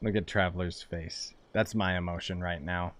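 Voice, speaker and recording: A man's voice: low voice, fairly even intonation, normal speed, a bit bright. Sarcastic man joking over gameplay. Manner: joking, sarcastic, chuckling asides. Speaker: gaming streamer. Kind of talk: casual let's-play commentary. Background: game audio.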